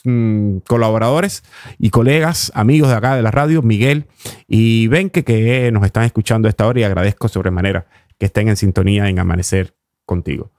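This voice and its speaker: A man with a Cuban accent presenting a reflective music radio show in an unhurried, deliberate way, pausing often. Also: mid-range voice, darkish tone, no echo, studio quality. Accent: Cuban Spanish. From Cuba. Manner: unhurried, deliberate, with long pauses. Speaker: radio host. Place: radio studio.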